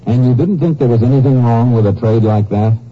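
An actor playing a stern, shrewd Texas Ranger investigator in a 1950s radio drama, questioning bluntly and with authority. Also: male voice, mid-range voice, brisk, husky, natural voice. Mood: pressing, stern. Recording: muffled, noisy old 1950s radio recording.

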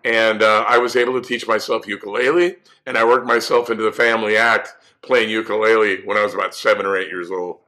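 A male musician from California, hoarse from laryngitis, telling stories in a rambling, relaxed way with many fillers. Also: on the low side, a little fast, hardly any pauses. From California, USA. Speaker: musician guest. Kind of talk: storytelling interview answers.

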